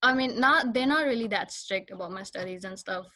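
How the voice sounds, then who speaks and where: A young woman from Pakistan whose first language is Punjabi, a guest speaking in a soft, hesitant way with many fillers. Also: mid-range voice, a little fast. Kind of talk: casual interview chat.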